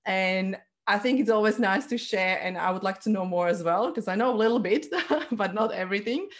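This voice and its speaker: A woman's voice: mid-range voice, a little fast. A woman hosting a casual interview in an encouraging, affirming way, with non-native English phrasing. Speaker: interviewer, host.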